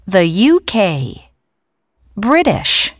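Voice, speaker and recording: A woman clearly pronouncing each word of a vocabulary list on a course audio track, played back during a lesson. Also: mid-range voice, big pitch swings, no room sound, studio quality. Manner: clear, deliberate word-by-word pronunciation. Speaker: textbook audio speaker.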